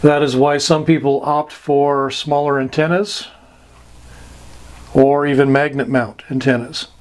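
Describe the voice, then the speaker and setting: A man teaches in an informal, explanatory style. His voice sits at a medium pitch, and he speaks slowly and deliberately. The delivery is halting, with long pauses between phrases. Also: little pitch movement. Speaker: instructor.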